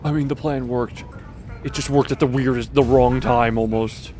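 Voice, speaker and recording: A man's voice: medium pitch, fairly even intonation, normal speed, mellow. A man streaming a video game, commenting live in a wry, rambling way and often repeating his own phrases. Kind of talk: live gameplay commentary, talking to himself and chat.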